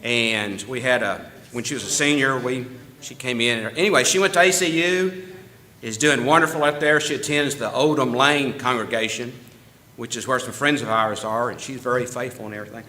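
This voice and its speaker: Bright-voiced folksy man. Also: medium pitch, moderate pace. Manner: folksy, chatty, laughs easily, with small restarts. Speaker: church member giving announcements. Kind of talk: casual church announcements. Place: church meeting room.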